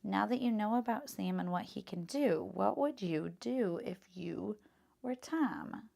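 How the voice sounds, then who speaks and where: A woman with a medium-pitched voice and noticeable vocal fry. She reads like a teacher sharing a picture book with children. Her delivery is expressive and instructive. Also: unhurried. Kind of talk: expressive children's read-aloud with casual teaching asides.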